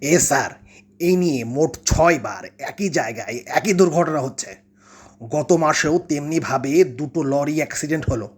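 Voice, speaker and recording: Man acting an aggrieved, husky local. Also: a little high, moderate pace. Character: aggrieved local complaining to officials about repeated accidents. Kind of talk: cartoon voice acting.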